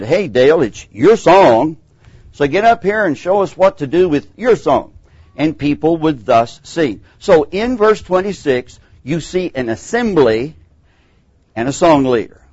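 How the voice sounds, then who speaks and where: Man, Texan accent, middle-aged, Bible class teacher, lively swooping voice, dry close sound. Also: on the high side, slightly rough, no echo, dull audio. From Texas. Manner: animated, acts out quoted voices.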